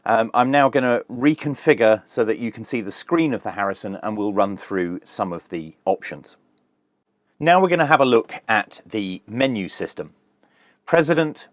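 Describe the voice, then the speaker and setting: A man's voice: on the low side, no echo. Man giving a dry, hands-on gadget review. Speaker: product reviewer.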